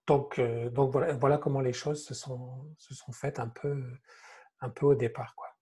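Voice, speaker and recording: Husky man talking haltingly. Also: mid-range voice, steady tone, mellow. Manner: halting, repeats words, casual. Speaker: author, guest speaker. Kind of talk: informal live panel talk.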